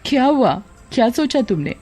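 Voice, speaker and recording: A woman's voice: on the high side, sing-song, normal speed, warm tone, husky, voice pushed up. A woman voicing a scheming, vengeful, lovesick witch ghost in a cartoon drama, over music. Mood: sly, tempting.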